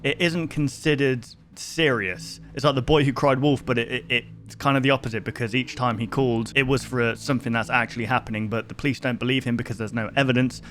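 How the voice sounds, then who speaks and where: A man's voice: mid-range voice, steady tone, fast, few pauses, fairly bright tone. A man recapping a story in a casual, conversational commentary style, often thinking aloud as he goes. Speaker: host of a horror book review video. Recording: music behind the voice. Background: music.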